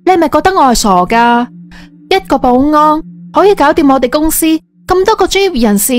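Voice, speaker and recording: A woman playing a bossy yet soft-hearted female company boss in an audio drama, with a Cantonese accent. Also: sits high, expressive, a little fast. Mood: suspicious. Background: background music.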